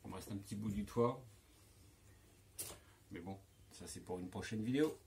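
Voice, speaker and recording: A man's voice: lowish voice, normal speed, vocal fry, a little mellow, slight background noise. Lapel-miked man in a workshop, hesitant. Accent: French. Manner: hesitant, many fillers and restarts. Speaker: vlogger showing a camper van rebuild. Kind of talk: casual explanatory walkthrough. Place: large workshop garage, indoors. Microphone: lapel mic.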